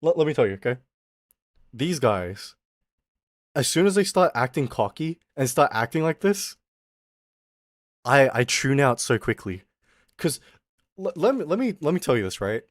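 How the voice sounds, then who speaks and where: A young man streaming as a VTuber, ranting in a casual, animated way. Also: medium pitch, no room sound, studio quality. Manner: animated, exaggerated, quick mock voices and laughs.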